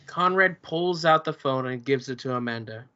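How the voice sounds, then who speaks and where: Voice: medium pitch, moderate pace, sharp tone, smooth. A performer reading the stage directions in a table read. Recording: dull lo-fi recording.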